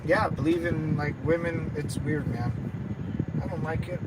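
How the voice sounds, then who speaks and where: Young man, high voice, casual stream chat, stammering, rambling, heavy traffic noise outside. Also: steady tone, measured pace. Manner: rambling, stammers and restarts, laughs often. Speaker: livestreamer and veteran chatting with viewers. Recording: loud traffic noise behind.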